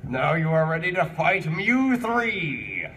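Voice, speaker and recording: A man voicing a menacing, vengeful cloned monster in a slow, stilted, declamatory way at a live fan fiction reading. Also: fairly high pitch, very animated, sharp tone, full character voice. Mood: solemn. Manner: slow, stilted, declamatory delivery of broken English. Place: convention panel room, recorded from the audience area. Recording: distant mic, noisy crowded convention room.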